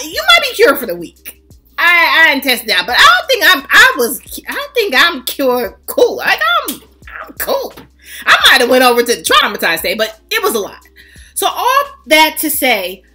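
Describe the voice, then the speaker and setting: A woman with a bright, high voice, the kind heard on a beauty channel's storytime, dishing out mock-serious advice over music. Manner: playful, teasing, mock-serious instructing with frequent check-in tags. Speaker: fragrance vlog host. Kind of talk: casual comedic storytime chat.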